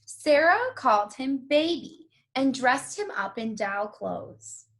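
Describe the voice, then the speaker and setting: A woman's voice: high voice, lively intonation, slow pace, fairly bright tone, low-quality recording. A woman reading a children's picture book aloud as a co-reader.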